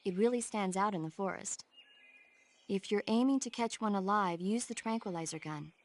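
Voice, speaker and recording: An actress playing an earnest, knowledgeable support advisor in a video game, explaining things informatively. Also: female voice, medium pitch, normal speed, natural voice. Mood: informative, cautioning. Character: knowledgeable female radio support advisor, earnest, easily exasperated.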